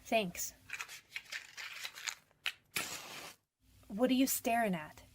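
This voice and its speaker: A scared young female convict played by a woman in a radio crime drama, speaking in a fairly high voice. She is defensive and slangy, putting up a tough front with a pleading edge underneath. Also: expressive, normal speed, noisy background, close to an everyday voice. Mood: defiant. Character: frightened, defiant young woman convicted of manslaughter. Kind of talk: radio detective drama acting.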